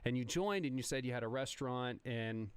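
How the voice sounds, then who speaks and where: A man hosting a casual podcast interview as a chef, talking in an animated, rambling way with many restarts. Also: medium pitch, brisk, clean tone, no room sound.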